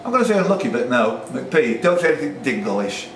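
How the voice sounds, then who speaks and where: A man's voice: medium pitch, big pitch swings, a little fast, fairly bright tone. Guy quizmaster in a pub reading questions n joking about, far from the mic, loads of echo. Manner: chatty, jokey asides between questions. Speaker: quiz host reading questions. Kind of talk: live pub quiz hosting, questions and banter. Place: indoors in a pub. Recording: echoey, noisy pub, distant microphone.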